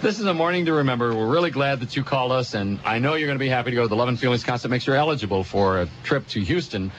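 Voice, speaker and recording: A man hosting a morning radio show, bantering quickly with callers in a phone-in contest. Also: mid-range voice, lively intonation. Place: radio studio. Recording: dull, noisy AM radio aircheck.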